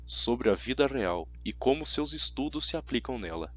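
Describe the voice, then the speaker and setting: A man voicing a stern, measured male teacher in an audiobook, formal and deliberate. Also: medium pitch, animated, sharp tone, dry recording. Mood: grave, insistent. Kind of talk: audiobook character voice.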